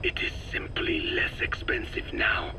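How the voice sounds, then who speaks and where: An actor playing a frail, regretful old scientist in a video game, with a weak, breathy rasp and formal, halting delivery. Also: male voice, in a whisper, lightly acted. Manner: slow, deliberate, formal, halting phrases. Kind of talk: video game dramatic dialogue.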